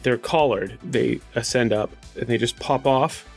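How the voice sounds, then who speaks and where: A man talks as a player in a tabletop game, working things out aloud. His voice is average in pitch and his phrasing is loose and casual, over faint music. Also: unhurried, gravelly, breathy edge. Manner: loose, thinking aloud, frequent "like".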